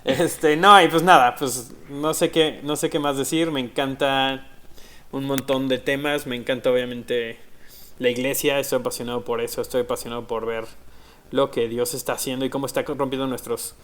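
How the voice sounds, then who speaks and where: A man's voice: mid-range voice, normal speed. A man from Mexico with a Mexican accent, a pastor and podcast co-host, chatting casually and laughing easily. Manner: lively, laughing, many "este" fillers. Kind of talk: casual interview talk.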